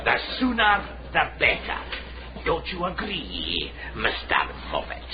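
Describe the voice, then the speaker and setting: An actor playing a pompous, venomous Scottish judge in a period radio drama, scornful and theatrical. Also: male voice, a little high, huge pitch swings, slow pace, dull audio, background noise, exaggerated voice. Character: pompous, venomous judge passing a death sentence.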